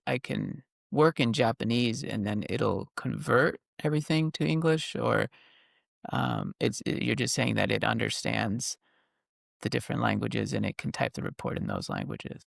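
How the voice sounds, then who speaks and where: Male interviewer, very creaky mid-pitched voice, conversational, recaps and questions, dry sound. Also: a bit bright, no echo. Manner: recaps and checks points with questions. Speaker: podcast host interviewing a guest. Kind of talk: conversational interview about technology.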